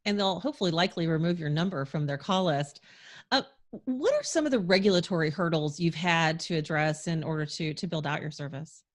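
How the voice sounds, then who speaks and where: Low-voiced woman hosting an interview. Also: fairly quick. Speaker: interview show host. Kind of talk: composed interview questioning.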